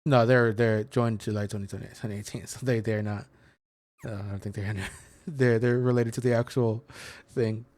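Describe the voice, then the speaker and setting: A man's voice: fairly low pitch, fairly even intonation, normal speed, darkish tone, dry recording, studio quality. A man streaming a game with casual, rambling commentary, thinking aloud as he searches for words and reads things out. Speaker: video game streamer and host.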